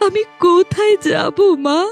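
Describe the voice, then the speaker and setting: A woman's voice: very high voice, measured pace, vocal fry, soft background music, exaggerated voice. A woman voicing a helpless, sorrowful young stepdaughter in a fairy-tale cartoon, with a meek, plaintive, pleading delivery.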